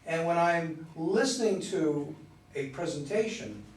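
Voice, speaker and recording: A man's voice: a little high, animated, unhurried. A man who reads plays, talking casually in a group discussion. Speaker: play reader in discussion group. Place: indoor room at a group meeting. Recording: very echoey room, distant mic.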